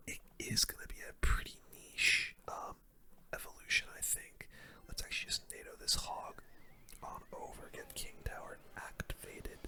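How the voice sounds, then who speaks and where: A gamer giving ASMR game commentary in a breathy, unvoiced whisper, with casual slang. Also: very high-pitched, sharp tone. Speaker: gaming video creator.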